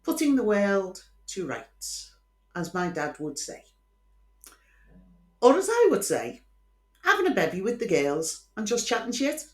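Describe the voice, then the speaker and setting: A woman with a Scouse accent and a low-set voice tells true-crime stories as host. She is chatty and opinionated, dropping in dry asides as she goes. The sound is roomy, with noticeable echo. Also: huge pitch swings, measured pace, long pauses, a little mellow. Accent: Liverpool Scouse. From Liverpool, England.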